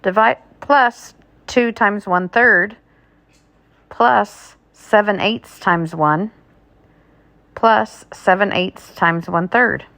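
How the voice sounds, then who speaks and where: A woman with a mid-range voice reads test questions aloud like a teacher. Her pace is extremely slow and deliberate, with each item set out separately. The sound is dry, with little room around it. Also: medium pitch, creaky, fairly bright tone, no echo.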